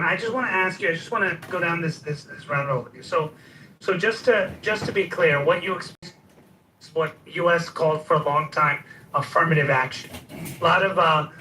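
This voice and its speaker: Man, forceful bright voice, halting off-the-cuff debater, heard off-mic in an echoey room with faint noise. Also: fairly high pitch, distant mic. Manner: halting, repeats words. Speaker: discussion participant.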